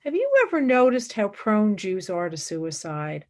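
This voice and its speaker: A woman's voice: medium pitch, sing-song, normal speed, natural voice. Woman acting an intense young intellectual, widely swinging intonation. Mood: grave, intense. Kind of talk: radio play acting.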